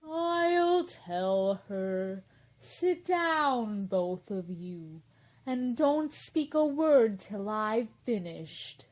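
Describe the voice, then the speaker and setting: A woman's voice: mid-range voice, lively intonation, extremely slow, darkish tone. A woman voicing a melancholy, touchy turtle in a storybook radio play, ponderous and easily offended. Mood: mournful, touchy. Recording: music under the voice.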